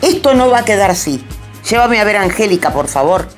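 A woman's voice: medium pitch, animated, a little fast, faint music behind, lightly acted. A woman with a Rioplatense accent playing a haughty, domineering elderly grandmother in a radio play, speaking in a cutting, contemptuous way. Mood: indignant. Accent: Rioplatense Spanish.

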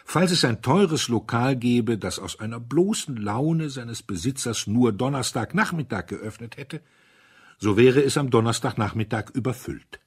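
A man reading a literary story aloud as scripted narration, in a measured way with dry irony. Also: mid-range voice, animated, husky. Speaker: audiobook reader.

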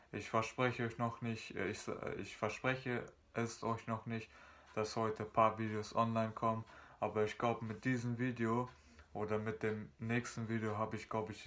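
A man's voice: on the low side, little pitch movement, moderate pace, a bit bright. A young man from Germany vlogging casually to his webcam in a small room, rambling with many fillers and restarts. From Germany, North Rhine-Westphalia. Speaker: YouTuber. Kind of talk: casual vlog chat to camera.

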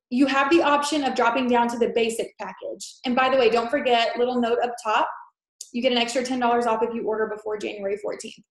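A young woman with a stuffy head-cold nose, presenting a fitness webinar over a laptop mic in a chatty, filler-heavy, reassuring way. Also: on the high side. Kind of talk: casual sales presentation. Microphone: laptop mic on a video call. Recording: echoey room on a video call.

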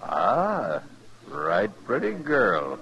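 Smug frontier gambler, deepish and animated, folksy and insinuating, vintage radio drama. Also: male voice, extremely slow, creaky, highs cut off, exaggerated voice. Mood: smooth, needling. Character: smug, sly crooked gambler in the Old West. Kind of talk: 1950s radio western acting. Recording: 1950s radio recording, crowd noise behind.

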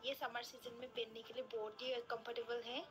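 A woman's voice: on the high side, flat delivery, fairly quick, very noisy. A young woman, chatting casually through a shopping vlog and praising cheap prices over and over. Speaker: shopping vlogger. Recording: voice-over over loud background music.

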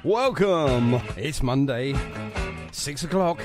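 A man's voice: medium pitch, expressive, slow. A British man hosting a live radio show with casual, chatty, jokey talk. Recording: music playing behind the voice.

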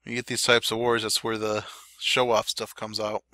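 Male game commentator, casual play-by-play, slightly dry throat, bright tone, dead-dry recording. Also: on the low side, normal speed, no room sound. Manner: relaxed, chatty play-by-play. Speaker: clan war recap commentator. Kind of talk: gameplay commentary over replays.

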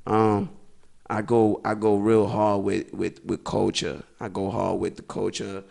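A man's voice: on the low side, monotone, a little slow, creaky, darkish tone. A young man from Brooklyn, a musician guest talking casually in a small podcast studio, slipping between English and Spanish and often saying "you know what I mean.". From East New York, Brooklyn. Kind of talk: casual interview talk. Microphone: studio mic with headphones.

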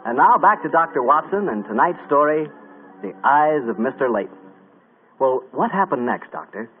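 A man announcing and hosting on 1940s radio, delivering an emphatic sponsor pitch. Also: very animated, normal speed, soft background music. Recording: muffled narrow-band vintage radio broadcast.

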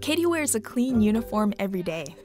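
A woman reporter narrating a scripted news feature in a measured, clear voice. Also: mid-range voice, big pitch swings. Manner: measured, clear voice-over delivery. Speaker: reporter and host. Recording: voice-over with background music.

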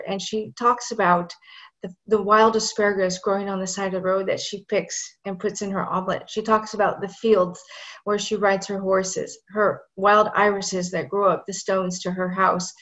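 A woman's voice: medium pitch, a bit bright, rough recording. A woman in her forties hosting a video call from outdoors, introducing the guest with enthusiastic admiration. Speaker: host introducing the speaker. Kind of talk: warm spoken introduction. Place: outdoors in a garden. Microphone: video call webcam.

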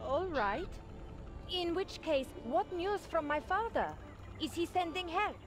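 A woman's voice: very high-pitched, lively intonation, a little slow, over music. A woman playing a proud, easily exasperated young desert princess in a video game, with formal, scolding phrasing. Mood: urgent.

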